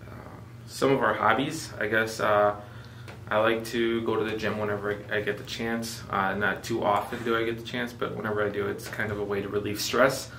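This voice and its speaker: A young man in his twenties from Mexico talks through a casual vlog Q&A, easygoing and heavy on "um"s. His fairly low voice has a bright edge. He sits back from the mic in a roomy space with a faint hiss behind him. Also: a little fast, recorded from a distance. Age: 20s. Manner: relaxed, filler-heavy, joking. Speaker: vlogger co-host. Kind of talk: casual chat.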